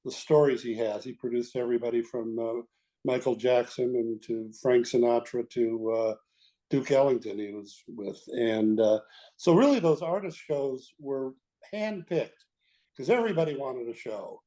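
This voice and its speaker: An older man with a mellow, relaxed voice sits as a guest in an interview. He looks back on his career in a measured, halting way, searching for the next phrase as he tells his stories. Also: medium pitch. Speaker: guest, veteran radio executive. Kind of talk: reminiscing interview talk.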